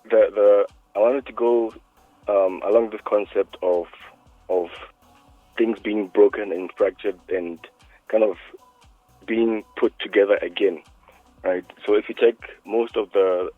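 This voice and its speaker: A man who is a music producer, talking by phone in an interview, hesitant and full of "um"s and quick to laugh. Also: lowish voice, steady tone, no echo. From South Africa. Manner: hesitant, many "um"s, laughs easily. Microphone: phone line. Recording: tinny dry phone line.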